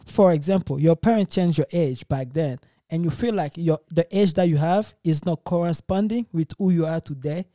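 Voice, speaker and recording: Talk-show host, man around thirty, high and mellow voice, dry sound, laughs easily. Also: normal speed, few pauses, no echo. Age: 30s. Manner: laughs often, persuasive. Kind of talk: casual chat.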